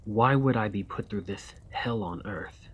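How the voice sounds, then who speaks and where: A man playing a weary, courtly, centuries-old vampire and former soldier in an ASMR roleplay, speaking in formal, old-fashioned, measured diction. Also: fairly low pitch, breathy edge. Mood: haunted, sorrowful.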